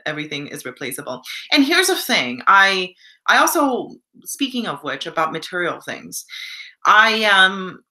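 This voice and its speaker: A woman's voice: mid-range voice, very animated, moderate pace, pushed and bright. A young Canadian woman in casual live stream chat, reflective and encouraging, reading viewer comments aloud.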